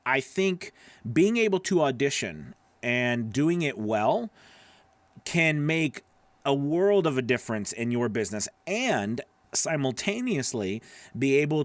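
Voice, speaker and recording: A man's voice: mid-range voice, dry recording. A middle-aged man giving casual, conversational advice as a video host, rambling a little and checking in with the listener. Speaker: voice-over coach and video host. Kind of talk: casual instructional talk to camera. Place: small home studio with acoustic foam, indoors. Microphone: broadcast microphone on a boom arm, close.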